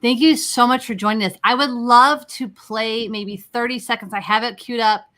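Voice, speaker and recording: A woman's voice: on the high side, steady tone, normal speed, sharp tone. A woman hosting an interview in a casual, conversational style. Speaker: interview host.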